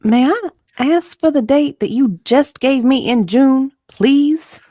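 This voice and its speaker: A woman reading the part of a guarded, politely stubborn witness in a dramatized deposition, measured and evasive. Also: high-pitched, mellow, no room sound, studio quality.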